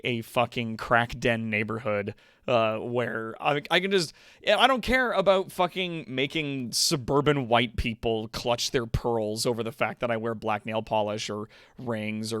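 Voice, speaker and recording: A man's voice: medium pitch, clean tone, no echo, clean studio sound. A man hosting a livestream, chatting casually with viewers and swearing freely. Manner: sweary, animated asides. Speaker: livestream host. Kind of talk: casual chat with stream viewers. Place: indoor home streaming room.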